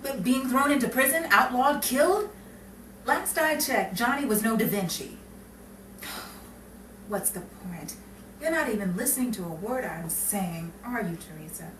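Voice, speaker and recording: A woman's voice: fairly high pitch, lively intonation, unhurried, a bit bright. A woman playing a sensible, stern elder sister who works as a bank clerk in a 1950s crime melodrama, with formal, indignant phrasing. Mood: scolding, exasperated. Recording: echoey, distant, noisy room recording.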